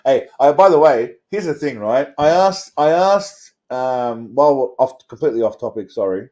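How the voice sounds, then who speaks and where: A man's voice: mid-range voice, animated, moderate pace, a little mellow. A man with an Australian accent hosting a casual livestream, rambling and swearing in a crude, blokey way as he talks to viewers. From Australia, Melbourne suburbs. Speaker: host of a livestream channel. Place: indoors.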